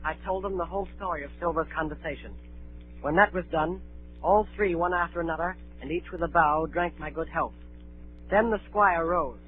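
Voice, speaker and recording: Earnest adventure storyteller a male actor: fairly high voice, measured dramatic narration, thin vintage radio sound. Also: faint music behind, close to an everyday voice. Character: earnest storyteller recalling his boyhood sea adventure. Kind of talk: dramatic first-person radio narration, 1930s. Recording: narrow-band 1930s radio broadcast.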